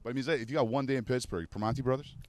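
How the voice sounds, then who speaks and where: A man's voice: mid-range voice, rapid-fire. A man from Montreal hosting a casual outdoor show by a city river, chatty and jokey. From Montreal, Canada. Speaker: host.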